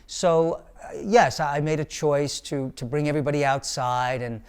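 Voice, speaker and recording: A man's voice: a little high, fairly even intonation, normal speed, smooth. A man, the film's director, speaking hesitantly in an interview with many ums and uhs. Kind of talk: casual interview talk.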